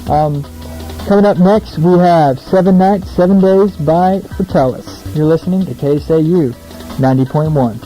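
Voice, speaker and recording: A man's voice: a little high, moderate pace, dark tone, faint music behind, low-quality recording. A young American man hosting a casual radio show, chatty, informal and self-deprecating between songs. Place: small radio studio, alone.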